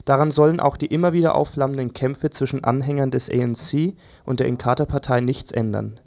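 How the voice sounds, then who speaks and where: A man's voice: medium pitch, steady tone, fairly quick, gravelly, darkish tone. A man reading a scripted news overview as a presenter.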